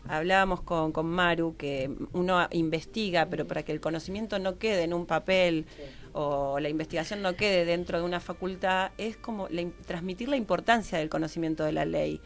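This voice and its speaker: A woman with an Argentine accent speaking as a guest in a radio interview, searching for words and repeating herself as she explains. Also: lowish voice. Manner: halting, repetitive, searching for words. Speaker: guest researcher on gender and health law.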